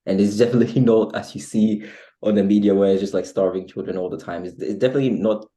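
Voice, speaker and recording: A Ghanaian-accented man talks as a guest in a casual conversation. His voice is very low, with a soft, dark warmth. He chooses his words in a measured, diplomatic way. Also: fairly even intonation. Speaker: interview guest.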